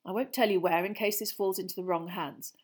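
A woman's voice: mid-range voice, steady tone, fairly quick, slightly rough, lightly acted. A woman reading out a letter from a fugitive godfather, earnest and a little raised, in a storybook reading. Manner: earnest, letter read aloud. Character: Sirius, fugitive godfather writing a caring letter.

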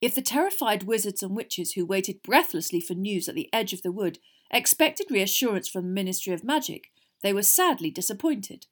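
Fantasy storybook father, middle-aged, woman reader acting, weary, measured, exasperated. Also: medium pitch, expressive, close to an everyday voice. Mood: heavy, grim.

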